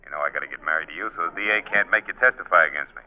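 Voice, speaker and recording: Tough menacing mobster, slangy, quick-fire delivery, 1940s radio acting, very muffled vintage sound. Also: male voice, a bass voice. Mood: pushy. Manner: threatening, slangy wise-guy talk. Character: menacing, cocky gangster boss, tough and slangy.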